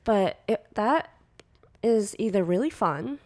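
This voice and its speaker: Woman, thirties, quiet soft voice, laughs often, rambling casual podcast chat. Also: medium pitch, lively intonation, takes it slowly. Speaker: podcast co-host, artist.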